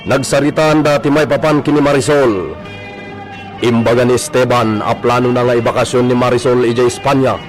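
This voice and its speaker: A man with an Ilocano accent narrating a scripted radio drama as its announcer. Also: mid-range voice. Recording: music bed under the voice. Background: music.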